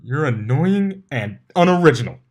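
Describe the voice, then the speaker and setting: A man's voice: a little high, very animated, moderate pace, a little mellow, voice pushed up. A man voicing a touchy, easily flustered young male pegasus pony in a fan fiction reading. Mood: indignant. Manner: indignant, stammering. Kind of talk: acted fan fiction dialogue.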